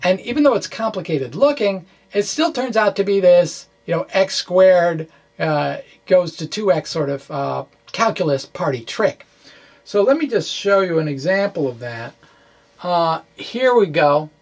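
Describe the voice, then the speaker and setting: A man's voice: sits high, expressive, a little slow. Man, physics explainer, informal lecture style, conversational asides, hesitant phrasing.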